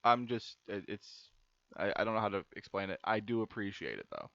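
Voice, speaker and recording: A man's voice: lowish voice, sing-song, a little fast, gravelly, fairly bright tone, no room sound. Male gaming host, young adult, chatty and loose, close dry sound with a slightly muffled, lo-fi edge. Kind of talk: casual let's-play commentary. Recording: dry, slightly dull lo-fi mic.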